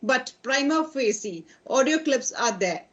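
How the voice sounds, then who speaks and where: A woman with an Indian English accent, a party spokesperson on a debate panel, insistent and listing her points with halting fillers. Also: high-pitched, lively intonation, fairly bright tone, lo-fi sound.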